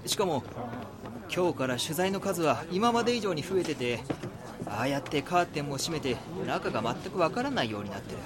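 A man acting a brash, cynical press reporter in an audio drama, talking in rough colloquial speech. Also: a little high, big pitch swings, a little fast. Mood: jaded, nosy. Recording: busy outdoor crowd and street noise.

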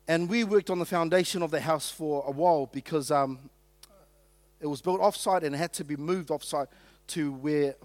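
A man born in Samoa, preaching a sermon and telling stories, joking with the congregation. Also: on the high side. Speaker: pastor.

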